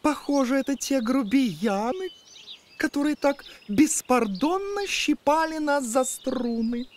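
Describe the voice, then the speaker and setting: A high-voiced, slow-talking cartoon gentleman, a refined viola and devoted husband. He is fussy and prim, with affected delivery over faint noise. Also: expressive. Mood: prim, indignant. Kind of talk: cartoon character acting.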